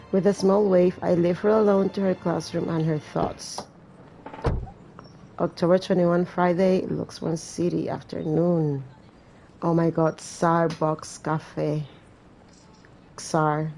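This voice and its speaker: A woman's voice: on the low side, gravelly, warm tone, rough recording. A woman hosting a gaming video, reading game text aloud and breaking off for wry, blunt, casual asides. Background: faint game music.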